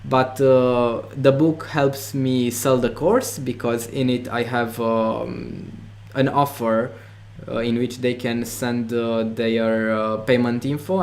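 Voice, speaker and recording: A man's voice: medium pitch, steady tone, a little slow, a little mellow. A man with a Romanian accent, a non-native English speaker, being interviewed about making online courses, talking with many fillers and restarts. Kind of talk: interview conversation.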